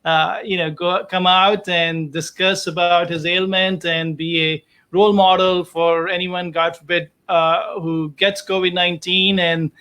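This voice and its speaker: The high-voiced man hosting a homemade online health show, stumbling and repeating himself as he cheers viewers on. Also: pushed and bright. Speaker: host, doctor interviewing his patient. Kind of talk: casual online show chat.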